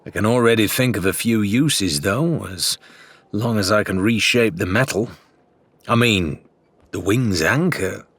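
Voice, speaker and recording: Man voicing a thoughtful, husky pirate smith. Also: lowish voice, a bit bright, dry recording. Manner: slow, thoughtful. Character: thoughtful male pirate crewman and smith, practical.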